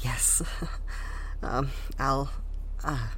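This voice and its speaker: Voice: medium pitch, fairly even intonation, drawn-out words, fairly bright tone, breathy edge, exaggerated voice. An actor playing a polite, self-conscious scientist colleague in an audio drama, prone to stammering. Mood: flustered.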